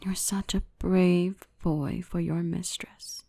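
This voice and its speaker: A woman playing a gentle, protective dark elf mistress in a soothing, reassuring ASMR roleplay, often whispering. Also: lowish voice, level tone, takes it slowly, vocal fry, no room sound, exaggerated voice. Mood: tender, reassuring.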